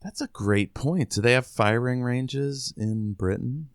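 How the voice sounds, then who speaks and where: A man's voice: medium pitch, unhurried, no room sound, clean studio sound. An American man co-hosting a podcast, talking casually as he discusses a book. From United States. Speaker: podcast co-host.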